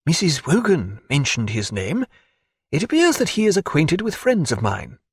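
A guarded doctor speaks with careful, formal precision, never rushing a word. His voice is husky and lively in pitch, as a narrator gives him voice, recorded close and dry. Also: dry recording, full character voice. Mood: probing. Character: measured, guarded physician man.

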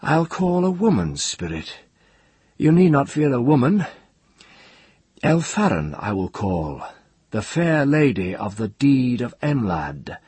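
A man voicing a proud, self-assured young sorcerer with gentle mockery in a fantasy audiobook. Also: sits low, very animated, normal speed, gravelly, hardly any pauses, breathy edge, no room sound, full character voice. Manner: soft-spoken, mocking, self-assured. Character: proud, coolly mocking young boy sorcerer. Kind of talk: dramatized audiobook fantasy storytelling.

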